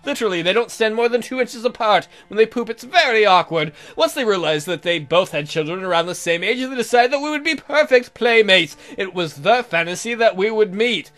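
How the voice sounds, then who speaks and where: Theatrical, high-voiced man joking through a story. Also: lively intonation, brisk, few pauses, a bit bright. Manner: theatrical, jokey, exaggerated. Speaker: let's play host. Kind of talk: comedic read-aloud of a visual novel with joking asides.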